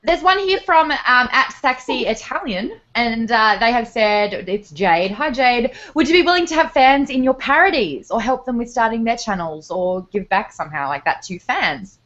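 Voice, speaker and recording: A woman's voice: fairly high pitch, animated, moderate pace, few pauses, a bit bright. Upbeat woman hosting live panel. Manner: upbeat, playful, moderates audience questions. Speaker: interview host. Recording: slightly muffled audio.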